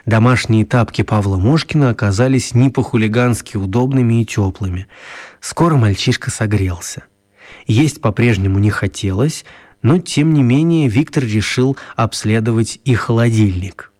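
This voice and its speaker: A man narrating a children's radio story in a wry, confiding way, with expressive, deliberate phrasing and dramatic pauses. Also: lowish voice, close to an everyday voice. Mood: wry storytelling.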